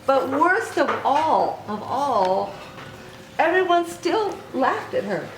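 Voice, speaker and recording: A woman reading a story aloud to young children in an expressive way, with little asides to her listeners. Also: sits high, takes it slowly. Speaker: children's author reading own book aloud. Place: book signing event in an echoey room. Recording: echoey room recording with clear noise.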